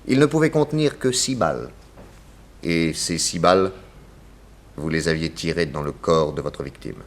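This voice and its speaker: Deep-voiced, commanding detective. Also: male voice, animated. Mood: accusing, emphatic. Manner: theatrical, deliberate, accusatory, lecturing. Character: shrewd, authoritative detective exposing a murderer. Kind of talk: 1960s French radio drama acting.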